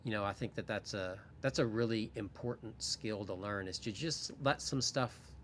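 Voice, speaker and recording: A man's voice: lowish voice, moderate pace, a bit bright, a little hiss or hum. A man in his forties, a podcast guest talking candidly and thinking aloud in a casual interview. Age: 40s.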